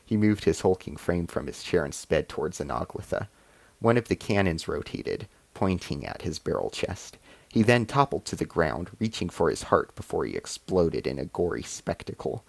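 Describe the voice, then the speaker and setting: A man's voice: deep voice, sing-song, normal speed, vocal fry, no room sound. A man reading a science fiction short story as scripted narration.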